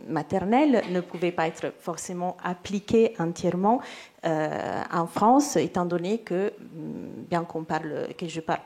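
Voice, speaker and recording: Woman, Italian accent, thirties, lowish pitch, panel talk, hesitant, lots of "euh". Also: measured pace, a little mellow. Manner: hesitant, many fillers and restarts. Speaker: literary agent for illustrators. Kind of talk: round-table panel answer.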